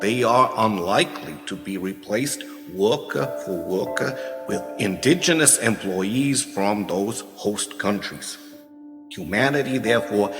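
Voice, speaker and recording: A man playing a professor in a radio play, lecturing soberly in a formal, measured, didactic way. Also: fairly low pitch. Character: male professor soberly lecturing on a grave report. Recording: very echoey hall, music clearly behind.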